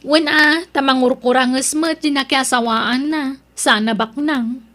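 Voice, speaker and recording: Snooty young ilocano girl in a radio drama, really high voice, brags and looks down on people. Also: fairly even intonation, measured pace, fairly bright tone. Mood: snobbish. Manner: haughty, belittling. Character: boastful, gossipy young woman proud of her professional boyfriend. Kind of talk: Ilocano audio drama acting.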